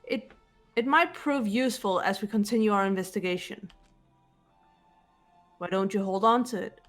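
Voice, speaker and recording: A woman's voice: medium pitch, soft background music, close to an everyday voice. A woman voicing a composed, guarded, analytical teenage girl detective in a fan-dubbed game. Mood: cool, analytical. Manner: measured, reserved, matter-of-fact delivery. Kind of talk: fan voice acting of a visual novel game.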